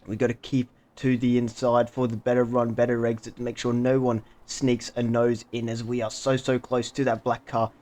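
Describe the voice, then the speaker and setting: A man's voice: medium pitch, level tone, moderate pace, few pauses, a little mellow. A man narrating his own recorded sim race in a running play-by-play commentary style.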